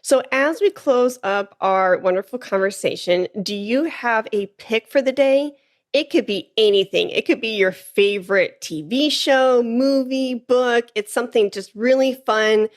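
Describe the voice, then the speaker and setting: A woman hosting a podcast interview, chatting casually with quick enthusiastic reactions. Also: on the high side. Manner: enthusiastic, warm affirmations. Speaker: podcast host.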